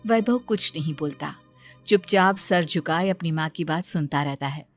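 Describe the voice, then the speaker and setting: A woman narrating a scripted bedtime cartoon story in a calm storytelling manner. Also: sits low, moderate pace, over music.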